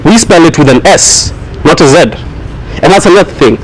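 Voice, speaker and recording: A young man from Kenya who grew up in Kenya, talking to a high school class in a joking way, laughing often. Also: animated, brisk, a little hiss or hum, poor audio. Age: young adult. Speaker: student speaker at a school. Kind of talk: classroom talk.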